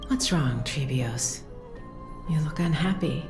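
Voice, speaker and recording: A woman voicing a gentle, solemn priestess mother in a video game, soothing and measured. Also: low voice, expressive, heavily acted. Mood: tender. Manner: soothing, measured, breathy at moments. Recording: roomy, with music behind.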